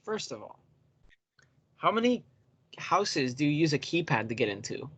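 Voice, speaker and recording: A man's voice: fairly high pitch, sing-song, moderate pace, fairly bright tone, breathy edge. A young man co-hosting a casual podcast over a voice call, bantering in a teasing way. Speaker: podcast co-host.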